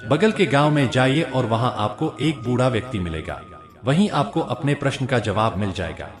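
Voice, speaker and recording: A man voicing a calm wandering holy man in a told folk tale, formally questioning and directing. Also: mid-range voice, moderate pace, lightly acted. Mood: calm, probing. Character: wandering holy man, calmly challenging a king.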